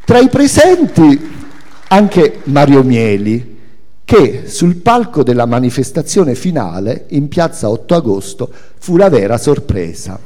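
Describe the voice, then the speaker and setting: Male literary reader, mellow timbre, dramatic storytelling, recorded in a reverberant hall. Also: mid-range voice, very animated, a little slow. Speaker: author reading from own memoir. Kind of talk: expressive literary reading aloud. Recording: echoey hall with music. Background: music.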